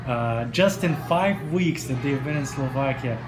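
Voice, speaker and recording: A middle-aged man from Lebanon and Egypt, speaking earnestly in a news interview, often pausing on uh. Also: mid-range voice. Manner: earnest, with frequent uh fillers. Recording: echoey room with steady noise.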